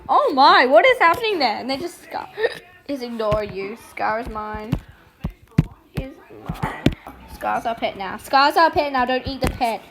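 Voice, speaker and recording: High-pitched, playful female gamer, noisy recording. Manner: giggly, playful, silly. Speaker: video game streamer. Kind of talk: casual gameplay commentary with typed roleplay read aloud.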